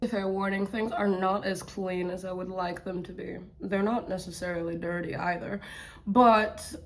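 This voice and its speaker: A woman's voice: medium pitch, steady tone, normal speed. A woman vlogging from her bedroom on the camera mic, playful and self-mocking, rambling and slipping into sing-song bits. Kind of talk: rambling casual vlog. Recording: echoey room sound.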